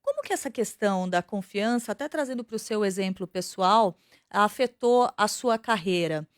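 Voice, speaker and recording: A woman radio host asking clear, measured interview questions and checking in with "né?". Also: mid-range voice, expressive, no room sound, studio quality.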